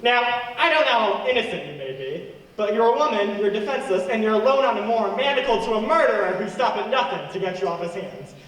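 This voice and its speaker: Echoey actor as a sardonic fugitive man, high-pitched. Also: expressive, quick pace, a bit bright. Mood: menacing, sardonic. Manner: commanding, sardonic. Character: wry fugitive man on the run, bullying and sardonic. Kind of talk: radio play acting. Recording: echoey hall, far from mic, noisy.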